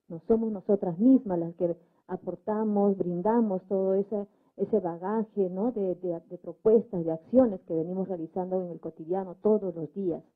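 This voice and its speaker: A woman community organiser speaking spontaneously at a debate, earnest and emphatic, with repetitions. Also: medium pitch. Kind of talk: spontaneous testimony at a public debate. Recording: narrow, phone-like debate recording.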